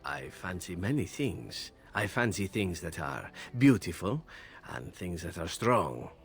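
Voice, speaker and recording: A deep, suave elven assassin. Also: male voice, big pitch swings, unhurried, soft background music, exaggerated voice. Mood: seductive. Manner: smooth-tongued, courtly, theatrical phrasing. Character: suave flirtatious male elven assassin, wistful, worldly charmer. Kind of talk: video game character dialogue.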